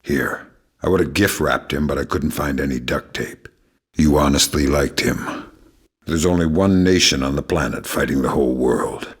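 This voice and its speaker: Very deep, husky, grizzled covert agent. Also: male voice, full character voice. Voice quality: gravelly, husky. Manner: deadpan, quietly threatening. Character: grizzled veteran male covert agent, menacing, sardonic, dry-witted. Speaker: video game lead character. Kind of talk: dramatic video game acting.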